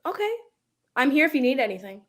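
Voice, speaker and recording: A woman plays a young thrift store clerk in a stage drama reading. She is chatty and casual, with a highish voice that moves up and down in a lively way. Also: fast talker. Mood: friendly. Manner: casual, chirpy.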